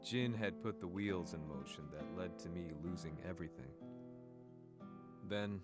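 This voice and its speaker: Vengeful journalist, deep voice, solemn measured narration, game cutscene, loud guitar backing. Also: male voice. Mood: solemn, bitter. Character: brooding, vengeful male journalist recounting his story. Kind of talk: scripted dramatic first-person narration. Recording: loud guitar music behind.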